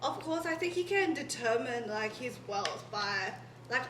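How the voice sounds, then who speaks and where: Young woman guest, 20, high bright voice, meandering filler-laden answers, roomy echo. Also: lively intonation, unhurried, noisy background. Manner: rambling, filler-heavy, says "in a sense" a lot. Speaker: podcast guest. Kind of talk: casual podcast chat. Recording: echoey room.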